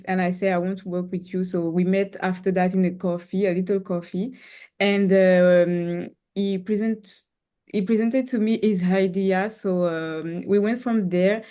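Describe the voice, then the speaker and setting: Young female cinematographer, Creole first language; slow, halting English, hunting for words. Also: mid-range voice, mellow. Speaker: cinematographer guest. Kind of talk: casual interview talk.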